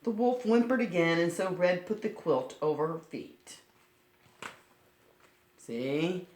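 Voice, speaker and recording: Female storytime reader, lowish pitch with lively swings, speaking to young children in an echoey room. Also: slow, a little hiss or hum. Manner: simple, child-directed explaining. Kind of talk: expressive picture-book read-aloud with asides to children. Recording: roomy, echoing sound.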